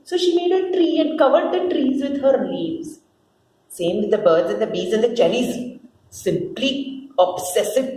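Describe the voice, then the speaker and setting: A mocking, jealous serpent acted out by a woman in a dramatic play reading. The delivery is slow and theatrical, with big swoops and repeated words. It sounds in a roomy, echoing space with some noise. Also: high voice, a little mellow. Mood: scornful. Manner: sneering, melodramatic, repeats words, drawn-out phrases. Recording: roomy echo with clear background noise.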